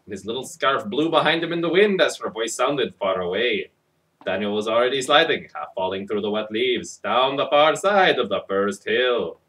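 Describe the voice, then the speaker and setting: Man, storybook narrator, hammy, sarcastic asides, highly animated pitch, distant microphone. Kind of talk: comic dramatic reading of a children's story with mocking asides.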